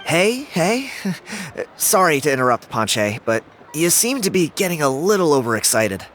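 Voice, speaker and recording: A man's voice: a little high, big pitch swings, a little slow, a bit bright, slight background noise. An actor, a man, playing a skeptical, teasing, down-to-earth young friend in a video game. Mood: amused. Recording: music under the voice.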